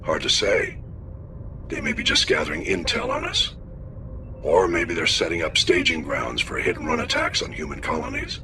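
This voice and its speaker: A gruff older navy admiral in a video game. The voice is gravelly and very animated in pitch, clipped and commanding, with clear noise around it. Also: male voice, normal speed, full character voice. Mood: stern, businesslike. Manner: clipped, authoritative military briefing. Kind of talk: video game dialogue acting.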